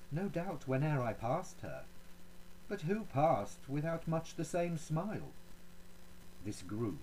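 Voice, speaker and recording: A man performs as a proud Renaissance duke in a dramatic poetry recitation. His pitch moves widely through haughty, courtly phrases broken by pointed pauses, with cold menace beneath the politeness. There is faint noise behind the voice. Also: darkish tone, poor audio. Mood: cold, menacing.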